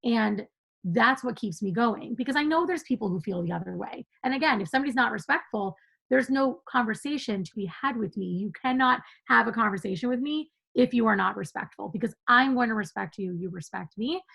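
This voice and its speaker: Husky, gravelly-voiced woman talking passionately. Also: a little high, animated, a bit bright, poor audio. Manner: passionate, emphatic, quick to laugh. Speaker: podcast guest. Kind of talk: conversational interview.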